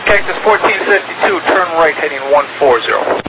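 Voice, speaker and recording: Fast-talking male controller over radio. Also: fairly high pitch, lively intonation. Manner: brisk, clipped instructions with fillers. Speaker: air traffic approach controller. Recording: noisy muffled radio transmission.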